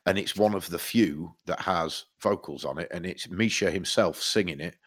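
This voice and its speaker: Podcast co-host, male, very deep voice, congested, rambling casual delivery. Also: moderate pace, a bit bright. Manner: rambling, restarts a lot, swears casually. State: stuffed up with hay fever. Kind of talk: casual music chat.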